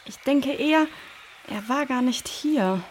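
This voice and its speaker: A woman acting a composed, caring female search leader in a children's audio drama, reasoning and gently giving directions. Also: sits high, lively intonation, a little slow, slight background noise. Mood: thoughtful, puzzled.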